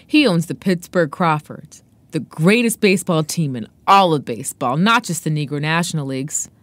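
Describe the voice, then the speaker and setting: A woman's voice: low voice, animated, normal speed, no echo. Eager boy narrator of a novel, read by a woman, proud baseball fan, emphatic delivery. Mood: proud, admiring. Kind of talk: dramatic first-person novel reading.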